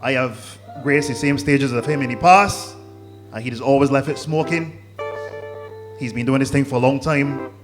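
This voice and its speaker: A man's voice: medium pitch, moderate pace, music in the background. A man hosting an open mic night, introducing the next act with rising hype. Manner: hyping, building up to a shout. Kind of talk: stage introduction.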